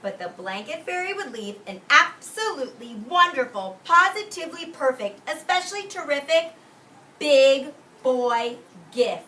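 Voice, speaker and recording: Preschool teacher, woman, storybook read-aloud: unhurried, bright, expressive, explains simply, picked up from across the room. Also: high voice. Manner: expressive, deliberate, drawn-out emphasis on key words. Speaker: preschool teacher reading a picture book aloud. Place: indoors in a classroom with young children. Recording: roomy distant mic with faint background noise.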